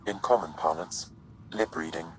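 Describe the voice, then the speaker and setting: Male actor as game AI companion: very deep, composed, exact, formal. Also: slight background noise, close to an everyday voice, low-quality recording. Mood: calm, factual. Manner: measured, formal, precise. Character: calm, formal male ship artificial intelligence assistant. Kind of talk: scripted game dialogue.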